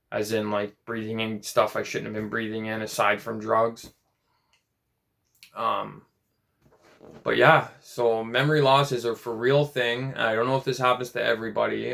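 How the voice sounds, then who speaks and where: A man in his late twenties talking in a casual personal vlog, rambling and halting, with many restarts and fillers. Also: mid-range voice, creaky, a bit bright. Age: 20s. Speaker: vlogger.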